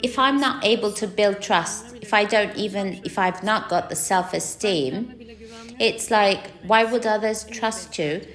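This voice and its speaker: A woman speaks as a panelist at a business conference. Her voice sits at a middling pitch, and she talks in an easygoing, conversational way, leaning on fillers like "like" and "kind of." Her delivery is relaxed and unscripted. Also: unhurried, reverberant. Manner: loose conversational, full of "like" and "kind of". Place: conference stage.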